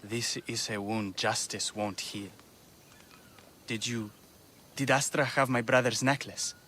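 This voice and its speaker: A solemn game character, a bereaved man set on revenge. His voice is bright and forward at a middle pitch, with bitterness in it and faint ambience behind. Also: steady tone, slow, a little hiss or hum. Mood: subdued, solemn. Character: grieving, vengeful male musician who lost his brother. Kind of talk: video game dramatic acting.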